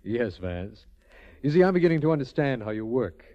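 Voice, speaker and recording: A sober district attorney played by a man sets things out in a mellow, businesslike voice of medium pitch. It is 1940s radio acting on a muffled old recording. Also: big pitch swings, a little fast, lightly acted.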